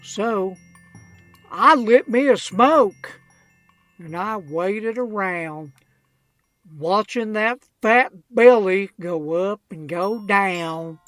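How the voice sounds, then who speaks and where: A woman voicing a crusty, wryly unrepentant old redneck man, telling a comic rhymed storybook tale in a folksy, drawn-out way. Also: medium pitch, dry recording. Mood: matter-of-fact, sly. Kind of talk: comedic rhymed storybook narration.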